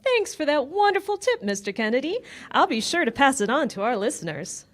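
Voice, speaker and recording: A woman's voice: sits high, big pitch swings, brisk. A woman playing a chatty, coy Hollywood reporter in 1940s radio style, playful and gushing. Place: live stage performance at a public library.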